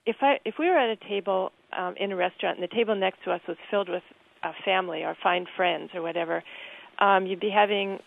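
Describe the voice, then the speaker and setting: A woman being interviewed about her book, talking thoughtfully with frequent pauses and restarts. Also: medium pitch, vocal fry, no echo. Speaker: guest author. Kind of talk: interview conversation. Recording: tinny phone-like line.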